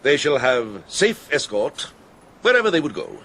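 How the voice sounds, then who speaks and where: An actor playing a kindly, inquiring Benedictine monk in a radio drama, measured and gentle. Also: male voice, mid-range voice, huge pitch swings, creaky, slight background noise, full character voice. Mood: courteous, earnest.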